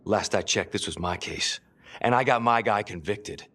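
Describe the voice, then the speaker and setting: A man's voice: lowish voice, moderate pace, fairly bright tone. A man acting a hot-tempered, territorial police detective in a crime game, aggressive, indignant and profane. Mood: angry, indignant. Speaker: video game actor.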